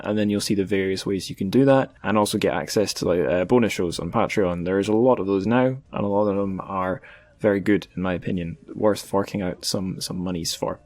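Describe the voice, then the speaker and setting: A man's voice: fairly low pitch, dry recording. A man hosting a podcast, talking in an informal, wryly humorous way. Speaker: podcast host. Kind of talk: casual podcast outro and chat.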